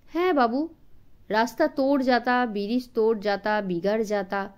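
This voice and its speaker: A woman, narrating a story expressively as an audiobook for children. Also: mid-range voice.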